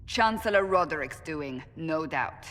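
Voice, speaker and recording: A woman playing a stern, resolute fantasy game warrior, speaking in a firm, measured and formal way. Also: sits low, animated, fairly bright tone. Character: stern, resolute female warrior, blunt and guarded. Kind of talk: fantasy game cutscene acting. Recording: long hall reverb.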